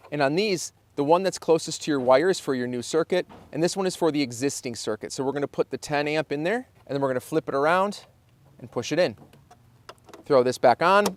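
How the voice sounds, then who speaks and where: Man, mid-range voice, casual hands-on tutorial, informal, thinking out loud while working. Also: medium pitch. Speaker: host of a car installation how-to channel. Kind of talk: casual hands-on tutorial, talking through the work.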